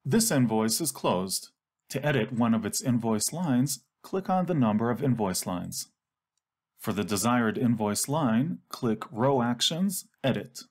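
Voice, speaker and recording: A man reading scripted instructional narration for a software tutorial. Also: medium pitch, animated, unhurried.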